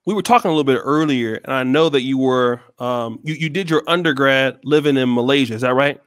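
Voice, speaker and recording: A man hosting a video call interview, relaxed and hesitant, often restarting his questions. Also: mid-range voice, fast, dry recording, clean studio sound. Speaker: host. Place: small room indoors. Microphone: headset and studio mic.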